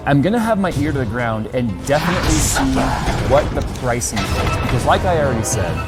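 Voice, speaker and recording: A man talking casually and candidly to his viewers as a tech YouTuber, a bit exasperated. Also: medium pitch.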